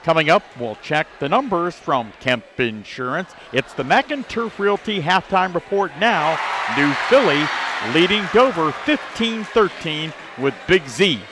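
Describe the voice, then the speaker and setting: A man with a fairly high voice hosts a halftime sports report. He moves between game recap and sponsor mentions in a broadcast style, with some gymnasium noise behind him. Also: expressive, measured pace, crowd noise. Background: basketball gym ambience.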